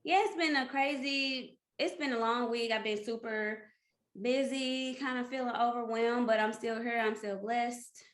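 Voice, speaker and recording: St. Louis woman host, chatty and laughing, bright voice, roomy sound. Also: a little high, steady tone, a little slow. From St. Louis, USA. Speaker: podcast host leading the episode. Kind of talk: casual group chat.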